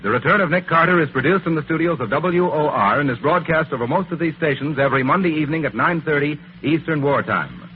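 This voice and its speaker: A man's voice: a little high, lively intonation, quick pace, hardly any pauses. Tinny vintage male radio announcer. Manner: formal, theatrical. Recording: tinny, faintly noisy 1940s broadcast.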